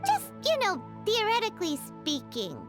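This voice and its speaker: A little fairy companion from a video game, with an extremely high, childlike voice. The delivery leaps up and down, eager and exclamatory. Music plays clearly behind the voice. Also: female voice, wildly swooping pitch, normal speed, exaggerated voice. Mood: curious, tentative. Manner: bubbly, exclamatory.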